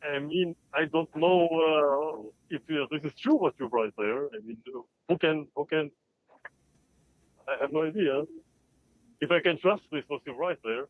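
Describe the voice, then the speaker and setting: A man with an Albanian accent calling in to a live show, speaking casually in halting, unsure phrases over band-limited call audio. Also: fairly high pitch, narrow, tinny audio. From Balkans, Albanian. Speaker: caller.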